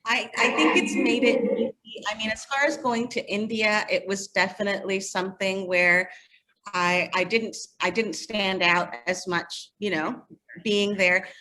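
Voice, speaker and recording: A woman answering interview questions in a casual, animated storytelling way. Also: mid-range voice, a little slow, low-quality recording. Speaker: interview guest, magazine editor.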